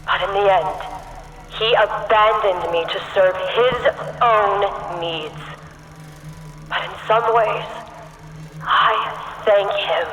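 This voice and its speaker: An actress playing a disillusioned, bitter woman in a video game monologue, brooding and measured, with a distant echoing sound. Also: medium pitch, bright, forceful tone. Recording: echoey, distant game voice-over.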